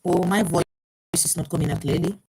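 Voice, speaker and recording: A woman's voice: lowish voice, fairly quick, dark tone, slightly rough. A woman hosting a live online program through an earpiece mic. She talks her way through the technical trouble, with lots of fillers and restarts. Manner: flustered, troubleshooting aloud, many fillers and restarts. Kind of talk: live online talk show.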